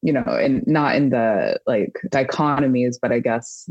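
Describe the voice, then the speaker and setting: A woman's voice: a bass voice, unhurried, a little mellow, dry recording, lo-fi sound. A woman talking casually as an interview guest, chatty, with many fillers and restarts. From Japan. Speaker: guest artist. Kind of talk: casual conversation.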